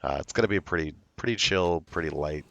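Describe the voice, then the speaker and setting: A young man streaming a game, with a hoarse voice from illness, chatting slowly and loosely. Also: sits low, fairly bright tone, muffled recording. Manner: slow, rambling, laid-back talk. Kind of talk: casual livestream chat.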